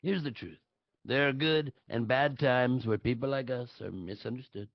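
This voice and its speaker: A man's voice: mid-range voice, normal speed, creaky, no echo, natural voice. A man playing a boastful, blustering older male politician in a live table read of a satirical play, blunt and fond of superlatives. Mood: self-assured. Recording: dull lo-fi audio.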